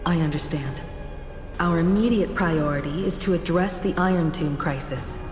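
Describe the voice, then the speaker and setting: An actress playing a composed, gracious leader of space travellers in a video game, speaking formally and earnestly. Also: female voice, fairly low pitch, normal speed, natural voice. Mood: concerned. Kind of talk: scripted video game dialogue. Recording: echoey hall reverb, music behind.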